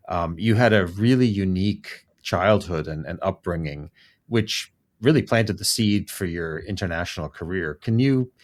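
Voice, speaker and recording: A man with a very low voice hosts the conversation. He asks friendly questions and often repeats a word while he works out the rest of the question. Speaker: podcast host. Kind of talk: interview questions.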